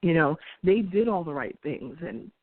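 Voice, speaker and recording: A woman's voice: low voice, very animated, moderate pace, dry recording. A woman in her forties from New York, hosting and giving her views in an earnest, conversational monologue with restarts and light laughs. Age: 40s. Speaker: host. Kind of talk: opinion monologue. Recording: tinny phone-like dry sound.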